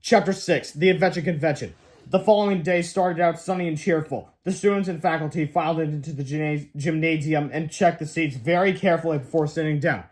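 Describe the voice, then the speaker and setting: A man with a high-pitched voice narrates a children's storybook aloud. He works as a storyteller would, reading from the written text. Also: brisk. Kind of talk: scripted children's book narration.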